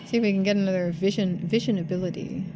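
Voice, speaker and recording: A woman's voice: medium pitch, steady tone, fast, background noise. A woman giving casual game playthrough commentary, musing aloud and addressing her viewers. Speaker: game streamer. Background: game music.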